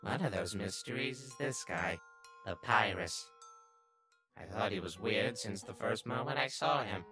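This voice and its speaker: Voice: low voice, moderate pace, sharp tone, faint music behind. A performer voicing a sly, obsessively curious little talking flower in a comic dub, brooding and easily exasperated. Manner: brooding, scheming, bursting into exasperated outbursts. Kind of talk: comic dub character narration and dialogue.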